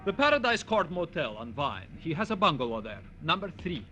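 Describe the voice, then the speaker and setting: Bright, high-voiced fussy male dancer. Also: very animated, brisk, a little hiss or hum. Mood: puzzled, uneasy. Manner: prim, formal, fretful. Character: delicate, fussy, anxious male ballet dancer. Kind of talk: 1940s radio drama acting. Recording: old radio broadcast, faint music.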